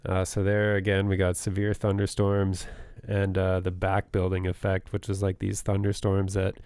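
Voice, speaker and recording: A man's voice: sits low, completely flat delivery, moderate pace, a little mellow, smooth, dry recording, studio quality. A man co-hosting a podcast in casual chat, laying out storm figures with many fillers, his voice a bit worn. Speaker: podcast co-host.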